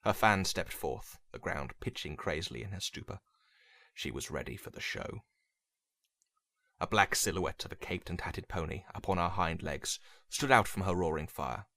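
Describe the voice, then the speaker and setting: A man reading dramatic fiction as a narrator, in a brooding, measured, theatrical way. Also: deep voice, sharp tone, no echo, studio quality. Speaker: story narrator. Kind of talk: dramatic scripted story narration.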